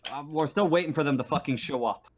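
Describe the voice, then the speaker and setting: Man as stern space marshal, tabletop roleplay, impatient, exasperated, sweary, halting. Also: on the high side, pitched above the performer's own voice, lightly acted. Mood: exasperated, defensive. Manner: sweary, exasperated, stumbling over words. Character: stern, harried male space-station marshal, gruff and impatient.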